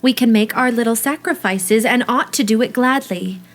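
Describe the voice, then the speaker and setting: A woman's voice: fairly high pitch, moderate pace, lightly acted. A woman playing a proper, ladylike eldest teenage sister in a period audio drama, with a prim, reproving manner. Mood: wistful. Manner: prim, scolding, wistful. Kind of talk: period radio-play acting.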